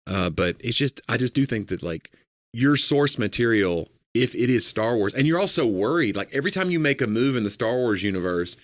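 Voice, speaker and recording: A man who does comedy, co-hosting a podcast in a casual, joking, rambling way, a little hoarse from a cold. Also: mid-range voice, expressive, brisk, no room sound, clean studio sound. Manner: joking, rambling, filler-heavy.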